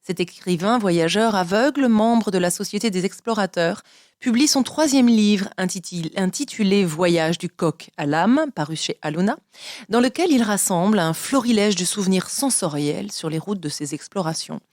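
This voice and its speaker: A woman's voice: mid-range voice, animated, normal speed, darkish tone, dry recording, clean studio sound. A woman hosting a literary radio interview, reading and asking questions. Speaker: radio host interviewing a guest.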